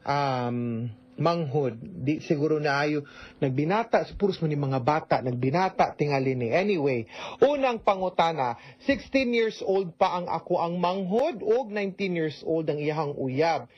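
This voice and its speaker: A man with a Cebuano Filipino accent giving conversational advice as a radio host, slipping into English and often tagging sentences with a questioning "no?". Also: fairly high pitch. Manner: code-switches into English, tags sentences with "no?". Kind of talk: conversational radio advice, answering a listener's letter. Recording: dull lo-fi radio recording.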